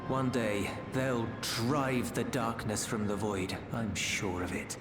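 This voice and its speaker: Earnest, slow-spoken fantasy warrior. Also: male voice, lowish voice. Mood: sincere, resolute. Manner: measured, sincere, slightly formal. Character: earnest, compassionate male fantasy warrior, calm and resolute. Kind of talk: dramatic video game cutscene acting. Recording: echoey, with music behind. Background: music.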